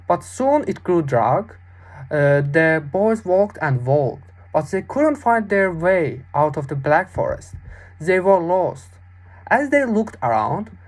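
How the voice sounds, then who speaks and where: A young adult woman telling a fairy tale as a student storyteller, in a deliberate, expressive way. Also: low voice.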